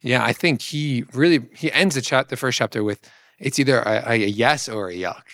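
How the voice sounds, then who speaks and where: A man's voice: mid-range voice, normal speed, a bit bright, no room sound. Man co-hosting a discussion, dry close sound. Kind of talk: conversational discussion of a book.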